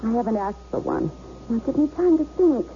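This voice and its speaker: A woman's voice: a little high, big pitch swings, fairly quick, a little hiss or hum. A woman playing a frightened, weary wife, pleading yet firm, in a 1970s radio drama. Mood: distressed, pleading. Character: frightened, weary wife firmly refusing her estranged husband. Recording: dull vintage radio broadcast.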